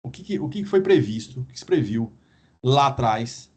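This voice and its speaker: A man, a guest professor, explaining at a measured pace in a podcast discussion and often ending his points with a questioning tag. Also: mid-range voice, expressive, slightly rough. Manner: measured, explanatory, frequent "né?" tags.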